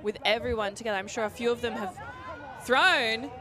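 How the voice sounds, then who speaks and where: A woman with a fairly high voice adds light, joking color commentary to a live match. A crowd is clearly heard around the outdoor broadcast position. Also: brisk, pushed and bright. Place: outdoor tournament field.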